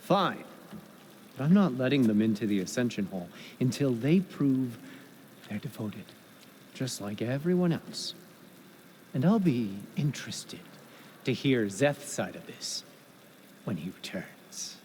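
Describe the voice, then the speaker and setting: An actor playing a suspicious, officious guard in a video game, stern and sneering toward outsiders. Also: male voice, medium pitch, big pitch swings, measured pace, pauses often, darkish tone, a little hiss or hum. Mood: grudging, suspicious.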